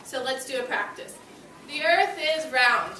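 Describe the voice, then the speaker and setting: High-pitched, bright female elementary teacher. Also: expressive, measured pace. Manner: addresses young children directly with short prompts and questions. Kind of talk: interactive classroom read-aloud lesson. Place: classroom. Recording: roomy, distant mic with background noise.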